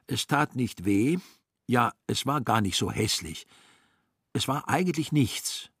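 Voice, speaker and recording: A man narrating a literary radio play with dry irony in a slightly husky voice. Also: mid-range voice, expressive, a little slow, a bit bright, no echo, full character voice. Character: dry, ironic male storyteller of a literary tale.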